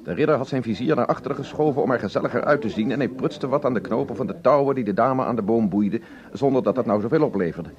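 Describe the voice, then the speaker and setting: A man acting an indignant, bookish storyteller in a radio play. He rattles along quickly and wordily, in a muffled recording with a busy crowd behind him. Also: medium pitch, close to an everyday voice. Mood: aggrieved, wordy. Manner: wordy, indignant storytelling with quotations. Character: aggrieved, long-winded, bookish husband and radio obituary reporter.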